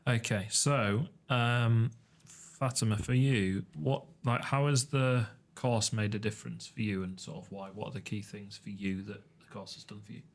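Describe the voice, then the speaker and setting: A man's voice: lowish voice, steady tone, normal speed. A man hosting a podcast, asking loose, easygoing follow-up questions. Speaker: podcast host. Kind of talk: casual interview.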